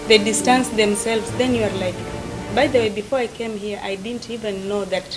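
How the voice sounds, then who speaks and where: A woman's voice: mid-range voice, fairly even intonation, normal speed, over music, low-quality recording. A woman, speaking casually in a group discussion and acting out other people's reactions. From East Africa. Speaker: interviewee.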